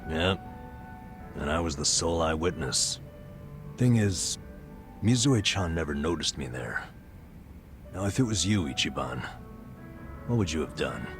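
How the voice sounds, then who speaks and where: Very deep, weary burned-out man. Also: animated, unhurried, pauses often, exaggerated voice. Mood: wry, regretful. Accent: American. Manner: wry, self-deprecating, weary. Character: burned-out, self-deprecating former male nurse confiding a past. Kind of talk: video game dub, confessional storytelling. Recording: music behind the voice.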